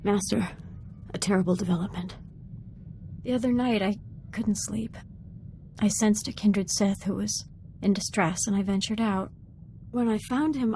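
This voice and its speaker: An actress playing a young, earnest, troubled Sith apprentice in a video game, speaking gravely and formally. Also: female voice, medium pitch, darkish tone, lightly acted. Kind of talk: dramatic video game voice acting.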